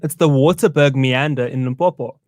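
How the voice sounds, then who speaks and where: A man's voice: mid-range voice, normal speed, a little mellow, no echo, studio quality. A young man from South Africa co-hosting a casual live-stream chat over a meal, playful and talkative. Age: young adult. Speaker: co-host.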